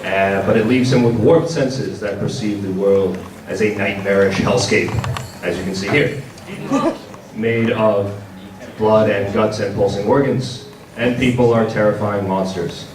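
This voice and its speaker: A man giving a product presentation at a convention panel, speaking in a halting, hesitant way. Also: lowish voice, steady tone. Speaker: presenter for a visual novel publisher. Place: large indoor convention panel room. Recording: roomy, distant convention hall with audience. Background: audience crowd sounds.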